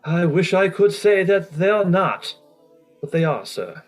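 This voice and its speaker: A man playing a polite, earnest gentleman collecting for charity in a radio play, speaking formally and courteously. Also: high voice, sing-song, measured pace, faint music behind. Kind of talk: theatrical radio play acting.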